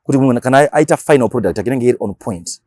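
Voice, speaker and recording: A Zimbabwean man speaks very quickly in a medium-pitched voice, recorded dry and close. He chats casually like a DJ in an interview, switching languages as he goes and repeating words to make a point. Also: lively intonation, a little mellow, dry recording. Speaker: radio DJ, interview guest.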